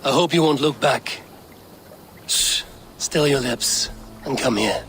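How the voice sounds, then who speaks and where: A man playing a steady, guarded Viking raider with a measured, terse manner, in a video game. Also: mid-range voice, a little hiss or hum. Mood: calm, guarded. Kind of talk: video game cutscene acting.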